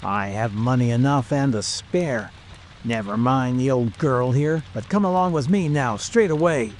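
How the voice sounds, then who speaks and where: Casting: man to play lecherous, moneyed, boozy suitor for audiobook drama; medium pitch, light rain bed. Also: measured pace, slight background noise, lightly acted. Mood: pushy, coaxing. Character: drunken, brash, lecherous moneyed male suitor. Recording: faint rain ambience behind.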